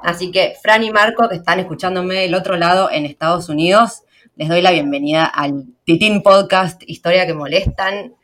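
Fast-talking Argentine woman podcast host. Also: fairly low pitch, fairly bright tone. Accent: Argentine Spanish. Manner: fast, slangy, chatty with laughs. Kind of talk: casual podcast chat.

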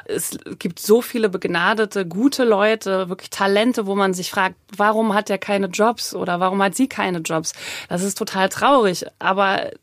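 A woman's voice: mid-range voice, moderate pace, no echo, studio quality. A woman speaking as an agent in a conversational interview, explaining reflectively with acted-out examples. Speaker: voice talent agent being interviewed.

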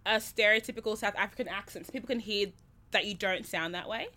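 A woman's voice: mid-range voice, fast, sharp tone. A woman co-hosting a podcast in casual chat, teasing her co-host and laughing a lot.

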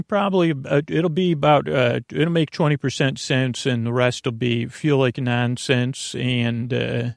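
Gravelly guy doing a rambly bedtime podcast, trips over his words, dry close sound, music under it. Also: medium pitch, dry recording. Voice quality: creaky, gravelly. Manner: meandering, stammering, loses his thread. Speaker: host of a bedtime sleep podcast.

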